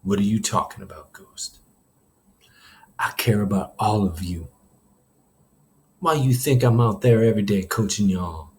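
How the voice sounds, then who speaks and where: A man's voice: lowish voice, measured pace, pauses often, darkish tone. A man voicing a stern but caring male track coach in a story, speaking with confronting intensity. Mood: exasperated.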